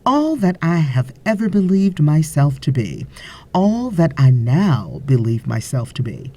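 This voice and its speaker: A woman reading a spiritual audiobook as scripted narration, in a measured, deliberate way with frequent pauses. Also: low-pitched, big pitch swings, mellow.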